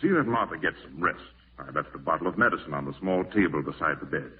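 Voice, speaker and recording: An actor playing a calm, authoritative doctor, speaking in the measured, formal manner of 1940s radio drama. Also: male voice, extremely low pitch. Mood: matter-of-fact. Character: calm, authoritative male doctor and estate executor. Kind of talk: 1940s radio horror acting. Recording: tinny, distant old radio broadcast recording.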